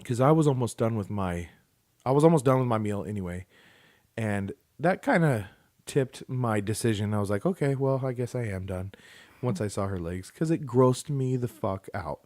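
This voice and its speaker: A man's voice: medium pitch, expressive, normal speed, darkish tone. A man in his thirties, co-hosting a podcast, joking and rambling in casual chat while getting over the flu. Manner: joking, rambling, laughs often. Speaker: podcast co-host.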